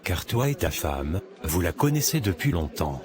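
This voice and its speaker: A man's voice: low-pitched, very animated, moderate pace, crowd noise. A man voicing a devout, steadfast young suitor who speaks earnestly in a cartoon. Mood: troubled.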